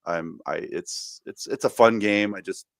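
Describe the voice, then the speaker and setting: A man with a fairly low voice talks casually as a podcast co-host, often stalling and repeating words while he works out his thoughts. The audio is close and dry. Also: measured pace, vocal fry, no room sound. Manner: hesitant, repeats words while thinking aloud. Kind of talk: casual chat.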